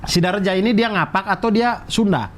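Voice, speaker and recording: A man's voice: high voice, normal speed, a little hiss or hum. A man hosting a casual interview, asking curious, short follow-up questions. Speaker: host.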